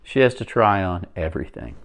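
A man in his forties reading a picture book aloud to young children, expressively and with deliberate pauses. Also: sits low, very animated.

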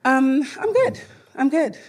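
A woman's voice: high-pitched, animated, takes it slowly. Laughing London woman on a stage panel. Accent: London English. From London, England. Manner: chatty and laughing often, with many fillers. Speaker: panel guest. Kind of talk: live panel conversation. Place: theater stage in front of a live audience. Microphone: handheld mic.

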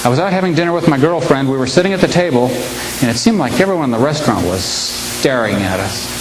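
A man in his fifties giving a humorous speech to a live audience, telling stories with timed pauses and punchlines. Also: medium pitch, expressive, music in the background. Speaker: humorist speaker. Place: large hall with an audience. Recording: echoey hall recording with hiss.

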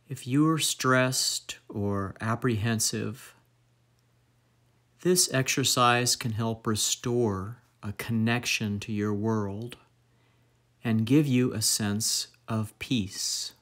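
Male meditation guide, mid-pitched, very slow measured delivery, lingering pauses between phrases. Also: a bit bright.

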